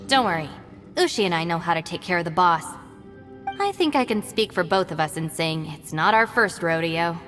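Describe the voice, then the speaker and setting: A woman playing a loyal, capable deputy in a video game, calm and reassuring, in a reverberant space. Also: fairly low pitch, moderate pace. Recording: long reverb, faint music behind.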